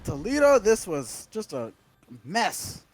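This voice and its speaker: A man's voice: high voice, sing-song, slight background noise. A man calling esports play-by-play, rattling off action breathlessly and stumbling over his words. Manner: rapid breathless calls, tripping over words. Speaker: play-by-play caster.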